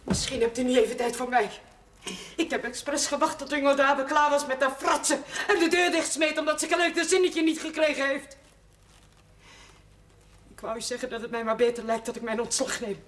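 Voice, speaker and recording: An actress playing a prim, old-fashioned, disapproving middle-aged housekeeper, speaking formally and stiffly in a television drama. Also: female voice, high voice, steady tone, brisk, a bit bright. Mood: prim, aggrieved. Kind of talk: Dutch television drama acting.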